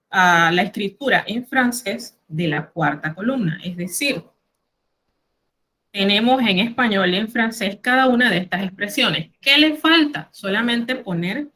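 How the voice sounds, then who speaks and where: A woman's voice: mid-range voice, fairly bright tone. A middle-aged woman teacher with a Panamanian Spanish accent, teaching an online class and carefully explaining word lists. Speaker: French teacher.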